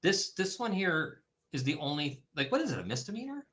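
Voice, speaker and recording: A man's voice: fairly high pitch, big pitch swings, fairly quick, a bit bright. A man hosting a casual live-streamed talk show, talking loosely with many restarts. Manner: loose, rambling, frequent restarts. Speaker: host. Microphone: streaming call setup.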